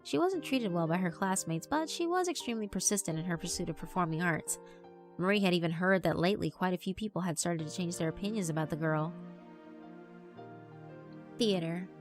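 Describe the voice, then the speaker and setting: A woman's voice: on the low side, fairly quick. A woman giving scripted narration for a visual novel, reading as a storyteller. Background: background music.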